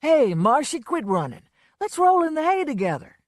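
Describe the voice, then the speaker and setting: A woman's voice: medium pitch, sing-song, moderate pace, no echo, studio quality, lightly acted. A woman voicing a drunk, blustering man. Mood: roaring drunk. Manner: loud, swaggering, slurred. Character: drunken blustering man, a boastful folksy entertainer.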